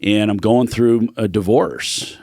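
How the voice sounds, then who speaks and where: A man's voice: lowish voice, slow pace, creaky, darkish tone, dry recording, studio quality. A middle-aged man, a podcast guest, telling his life story in an earnest, reflective way. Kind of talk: candid personal storytelling.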